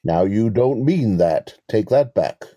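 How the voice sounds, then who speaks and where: Man acting mellow, husky, wily con artist. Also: lowish voice, animated, no room sound. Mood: stern, pious. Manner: measured, formal, evasive, condescending. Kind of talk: two-person mystery audio play.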